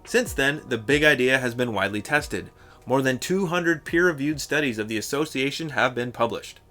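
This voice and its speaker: A man narrates a scripted video essay in a bright, forceful voice. His delivery is wry and sarcastic, at a middling pitch. Soft guitar music plays faintly behind him. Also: animated, moderate pace.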